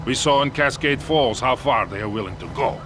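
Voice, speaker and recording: An actor playing a war-weary, protective Soviet commander in a video game cutscene, earnest and resolute. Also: male voice, mid-range voice, brisk, background noise, close to an everyday voice. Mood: grave.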